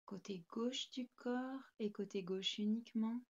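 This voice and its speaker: Slow, calm woman guiding meditation. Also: a little high, muffled recording. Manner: calm, soothing, gentle. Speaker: yoga nidra guide. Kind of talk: guided meditation with slow, spaced instructions.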